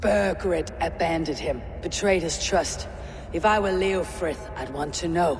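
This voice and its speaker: An actor playing a resolute, commanding but merciful Viking warrior leader in a video game. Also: male voice, on the high side, measured pace, creaky, soft background music. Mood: earnest. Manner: firm, commanding. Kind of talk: dramatic video game acting.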